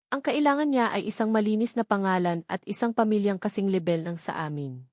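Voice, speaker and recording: A woman's voice: medium pitch, brisk, dry recording, studio quality, close to an everyday voice. Woman acting a cold, haughty rich older mother. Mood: scornful. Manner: calm, sharp, contemptuous.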